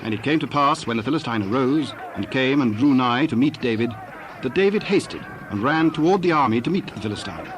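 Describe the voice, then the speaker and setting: A man narrates scripture from a script in a medium-pitched voice. Clear background noise runs beneath his reading. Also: animated, a little fast. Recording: noisy background ambience.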